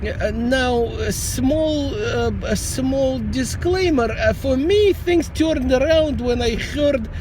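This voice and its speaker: A man's voice: very high-pitched, takes it slowly, few pauses, a crowd in the background, rough recording. A middle-aged man with a Russian accent, a vlogger joking dryly and rambling in a casual monologue while driving his car. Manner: dry joking, rambling with restarts.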